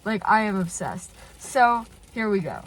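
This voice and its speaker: A woman's voice: medium pitch, unhurried, poor audio. Stuffy-nosed woman gushing in her car. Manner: gushing and excitable, many filler "like"s. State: stuffy and nasal from a cold. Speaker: channel host reacting to fan fiction. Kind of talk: casual reaction vlog. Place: inside a parked car.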